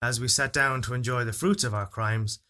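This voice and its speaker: Guy reading a dramatic story, bright forceful voice, measured and serious. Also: medium pitch. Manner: measured, expressive, solemn storytelling delivery. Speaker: story reader. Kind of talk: dramatic literary narration of a first-person fairytale.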